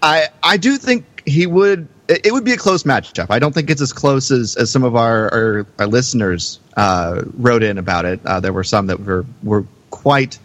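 Man hosting a podcast, halting delivery. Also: medium pitch, animated, a bit bright, no echo. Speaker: podcast host. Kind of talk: casual podcast chat.

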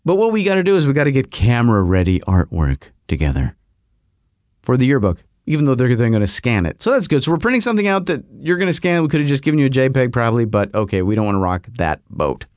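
A man's voice: mid-range voice, sing-song, fast talker, a little mellow, no echo, clean studio sound. A man hosting a podcast who tells a rambling comic story full of self-mocking asides. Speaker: podcast host.

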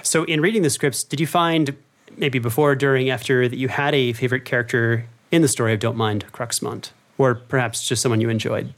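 Medium-pitched man hosting an interview. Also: steady tone, a little fast. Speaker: interviewer and host. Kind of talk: casual interview.

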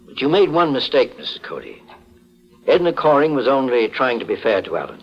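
An actor playing a calm, courteous but stern private investigator, formal and authoritative, in a 1940s radio detective drama. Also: male voice, mid-range voice, fairly quick. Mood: courteous, composed. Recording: muffled 1940s radio recording.

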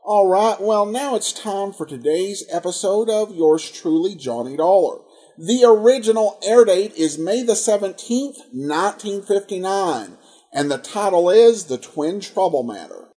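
High-pitched, husky American male podcast host. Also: animated, a little slow, warm tone. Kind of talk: scripted podcast introduction.

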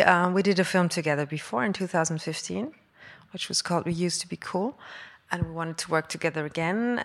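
A woman from Austria, a film director, speaking at a live panel and restarting her sentences often. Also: fairly low pitch. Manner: halting, with frequent restarts. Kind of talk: panel conversation. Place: live stage event before an audience.

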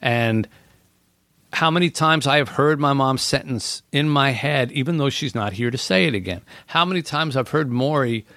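A middle-aged man speaks with earnest warmth of thought, pausing on "you know" as he goes. His voice sits in an ordinary middle register. The recording is dry and close. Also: medium pitch, dry recording. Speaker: podcast guest. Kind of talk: reflective casual conversation.

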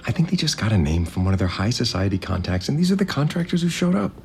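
A man's voice: lowish voice, sing-song, fast talker, vocal fry. An actor playing a grieving, overwhelmed resort heir in an audio drama, hesitant and apologetic, placating whoever he talks to. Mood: evasive. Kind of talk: naturalistic audio drama acting.

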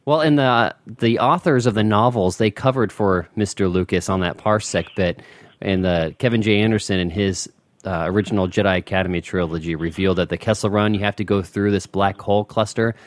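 Low-voiced male podcast host, dry. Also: fairly quick, no room sound. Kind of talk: casual interview.

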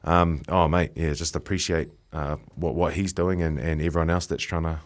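Halting deep-voiced New man. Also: level tone. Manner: halting, repeats words often. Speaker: professional rugby player, guest. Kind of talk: casual radio chat.

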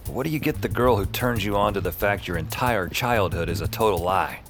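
A man acting a young, self-deprecating narrator in an audio fiction drama. His voice sits low, and he tells his story drily and conversationally, with music clearly underneath. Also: a little fast, natural voice. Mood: wry, dry. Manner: wry, dry, conversational. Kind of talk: first-person scripted audio drama narration.

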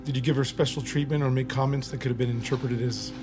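A man playing an uneasy military doctor in an audio drama, questioning in a measured, hesitant, coaxing way. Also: medium pitch, fairly even intonation, over music, lightly acted. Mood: polite, probing. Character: probing, uneasy male military doctor interviewing an accused recruit. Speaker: voice actor in audio fiction. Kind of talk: naturalistic audio drama acting.

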